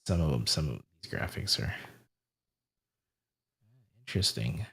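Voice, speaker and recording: A man's voice: rumbling low, animated, a little slow, vocal fry, fairly bright tone, slightly rough. A man hosting a casual live stream, greeting viewers and rambling aloud while he fiddles with settings. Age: adult with a wife and family.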